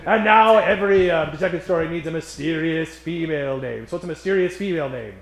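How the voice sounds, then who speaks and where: A man's voice: on the high side, fast. A man hosting a live comedy show from a stage, emceeing theatrically in a 1940s radio style and projecting like a showman. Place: stage in front of a live audience. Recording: echoey live stage sound.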